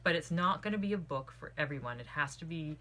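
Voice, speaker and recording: A woman's voice: low voice, animated, fast talker, sharp tone. A woman giving a casual spoken book review in an earnest, opinionated way, drifting into tangents. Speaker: book club host reviewing a book. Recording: clearly audible background noise.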